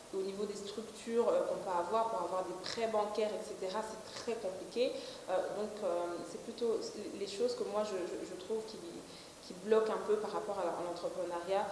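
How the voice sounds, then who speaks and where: A woman's voice: medium pitch, a little mellow. A woman in her twenties, a company director, answering interview questions with many fillers and restarts. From Belgium. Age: 20s. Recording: echoey room with faint hiss.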